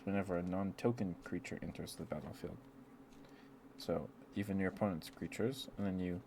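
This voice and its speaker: A man chatting in a rambling, affectionate ASMR style to live viewers, speaking softly into a close microphone. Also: deep voice. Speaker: ASMR livestreamer. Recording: clear background noise.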